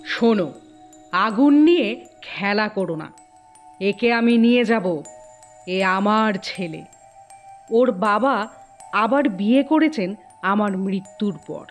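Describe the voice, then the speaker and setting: A woman's voice: mid-range voice, animated, faint music behind. A woman playing the ghost of a dead mother, stern and protective, in solemn, commanding tones for an audio ghost story. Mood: stern warning. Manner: solemn, commanding, deliberate warnings. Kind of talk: dramatised Bengali ghost story acting.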